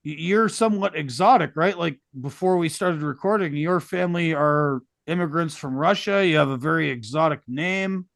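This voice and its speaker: Gravelly middle-aged man. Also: a little high, normal speed, hardly any pauses, fairly bright tone, dry recording, studio quality. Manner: opinionated, rambling, sarcastic. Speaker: podcast host. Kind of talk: casual podcast conversation.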